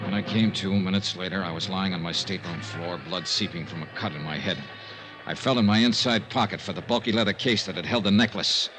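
Actor doing a tough radio private eye, lowish and punchy, wry clipped narration, faint music behind. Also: male voice, brisk, lightly acted, rough recording. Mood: wry, hard-boiled. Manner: clipped, hard-boiled, matter-of-fact. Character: tough, wry male insurance investigator narrating his case. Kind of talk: 1950s radio detective acting and narration.

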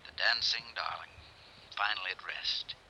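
A man's voice: fairly low pitch, fairly even intonation, normal speed, pushed and bright, low-quality recording. An actor playing a brooding male country doctor who speaks pointedly, in an old-time radio mystery. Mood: brooding, bitter. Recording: old radio drama recording.

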